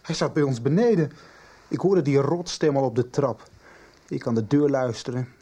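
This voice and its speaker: An actor plays an earnest, emotional schoolboy in his teens for a radio soap. The voice is mellow and noticeably husky, medium in pitch for a male, with informal, slightly halting phrasing. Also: close to an everyday voice. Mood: indignant. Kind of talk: naturalistic 1990s radio drama dialogue.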